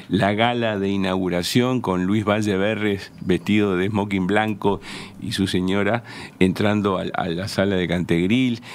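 A man in his sixties with a Uruguayan accent, reminiscing in a measured, hesitant way as a guest in a radio interview. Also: on the low side. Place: small radio studio. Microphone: close studio microphone on a stand.

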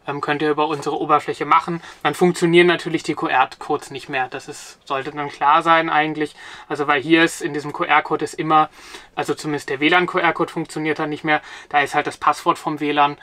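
Bright-voiced young man explaining gear. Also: fairly high pitch, level tone, a little fast, no echo. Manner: hesitant, many filler sounds and restarts. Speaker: company product presenter. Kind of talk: explaining a product to camera. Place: inside a parked camper van.

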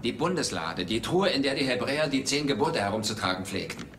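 An actor playing a driven, wry archaeology professor and adventurer in a dubbed film, dry and casual with hesitations. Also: male voice, mid-range voice, lively intonation, brisk, a bit bright, a little hiss or hum. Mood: dry, explaining. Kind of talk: German film dubbing.

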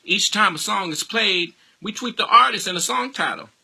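Man, American accent, husky, very bright and forceful, emphatic slangy radio promoter. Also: high-pitched, normal speed. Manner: emphatic, street-slang hype. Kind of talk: informal promotional pitch to camera.

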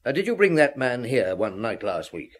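A man playing a calm, probing middle-aged police inspector in a radio drama. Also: medium pitch, normal speed. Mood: polite, probing.

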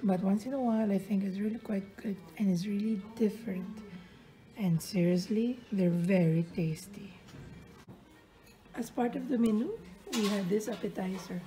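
A woman's voice: medium pitch, normal speed, warm tone, soft background music, rough recording. A woman filming a casual restaurant vlog on a handheld phone, chatty and laughing often. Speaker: vlogger. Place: busy restaurant indoors. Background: crowd chatter.

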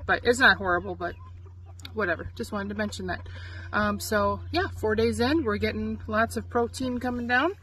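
A woman's voice: medium pitch, normal speed, sharp tone, low-quality recording. Middle aged woman chatting casually thru a diy, phone mic outside, bit of noise and hens clucking. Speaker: chicken keeper presenting a how-to. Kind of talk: casual chatty how-to vlog. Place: outdoors in a farmyard chicken run. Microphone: handheld phone at arm's length. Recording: outdoor phone audio with background noise. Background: chickens clucking, outdoor noise.

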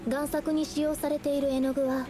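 A woman voicing an earnest, gentle young female painter in an anime game, speaking politely and solemnly. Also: sits high, flat delivery, music in the background, natural voice. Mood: earnest, firm. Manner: polite, solemn, measured speech.

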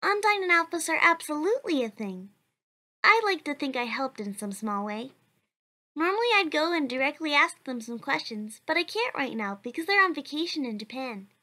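A woman's voice: high voice, very animated, normal speed, heavily acted. A woman voicing a cheerful, earnest child who answers questions for a blog in a comic dub. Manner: bright, chatty, earnest.

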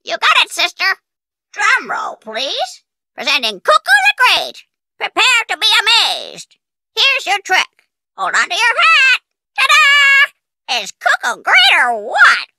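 A voice actor playing a cheeky, boastful, greedy pet parrot in a video game, squawking short chanted phrases with a shrill tone. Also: wildly swooping pitch, slow pace, sharp tone, a little hiss or hum, full character voice. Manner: chanting short phrases in repeats, bossy and bragging. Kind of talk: cartoonish video game character acting.

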